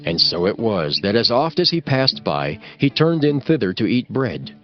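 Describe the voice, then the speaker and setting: Solemn male scripture narrator, lively pitch. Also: moderate pace, lo-fi sound, soft background music. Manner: formal, solemn storytelling.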